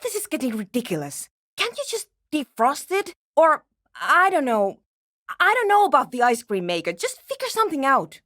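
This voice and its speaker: A woman's voice: high-pitched, wildly swooping pitch, normal speed, a bit bright, no room sound, heavily acted. An actress playing a brusque, nerdy woman programmer in a video game, with a dry, matter-of-fact, sardonic manner. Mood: irritated, sardonic. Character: brusque, nerdy young woman programmer researching a radio anomaly. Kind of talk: video game character dialogue.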